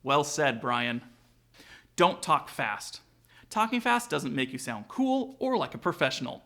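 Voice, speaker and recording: Male vlog host for how-to videos: slightly high voice, very lively intonation, casual scripted delivery aimed straight at the viewer. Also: a little slow. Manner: casual, direct address to viewers. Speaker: aviation vlog host, student pilot. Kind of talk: upbeat scripted tutorial narration with sponsor read.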